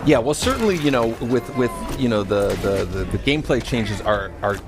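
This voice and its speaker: A man being interviewed as a game developer, explaining things casually in a halting, circling way. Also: medium pitch, animated, music in the background.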